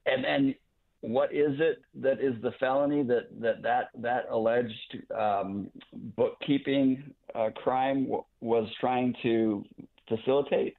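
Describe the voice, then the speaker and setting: A man giving unscripted legal analysis as an interview guest over a thin phone-like line, in a halting, deliberate way. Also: medium pitch, steady tone, vocal fry. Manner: halting, deliberate, repeats words as he goes. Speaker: guest legal commentator, former prosecutor.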